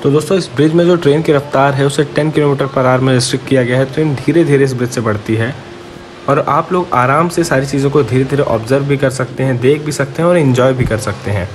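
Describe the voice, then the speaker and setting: A man's voice: mid-range voice, quick pace. A man narrating a train journey vlog in a casual, friendly way, talking to his viewers. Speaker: travel vlogger. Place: on a moving train. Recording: train noise behind the voice. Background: train and wind noise.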